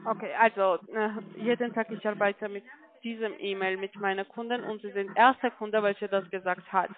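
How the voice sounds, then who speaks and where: Female phone agent, non-native, mid pitch, broken insistent phrasing, muffled telephone sound. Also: steady tone. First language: not German. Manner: halting, broken sentences, insistent. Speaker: call centre agent. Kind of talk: unscripted phone conversation. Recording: muffled narrow phone line.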